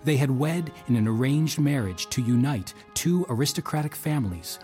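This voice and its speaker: Actor as formal Roman manservant narrating over music. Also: male voice, medium pitch, lively intonation, normal speed, mellow, close to an everyday voice. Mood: formal, stately. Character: loyal manservant and aide to a Roman army captain. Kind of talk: scripted audio drama narration.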